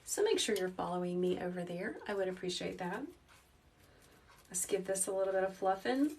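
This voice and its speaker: 30s lady doing a live craft demo, calm soft teacher voice, mic a bit far off, faint hiss. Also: medium pitch, a little fast. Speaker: wreath-making livestream host. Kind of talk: casual live craft tutorial. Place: small indoor craft workroom. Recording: slightly distant mic with faint noise.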